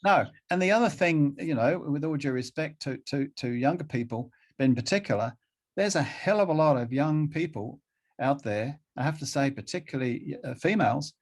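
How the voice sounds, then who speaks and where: Middle-aged man talking in a halting, emphatic way. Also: mid-range voice, a little mellow. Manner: halting, repeats phrases for emphasis. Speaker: interview guest. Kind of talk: conversational interview.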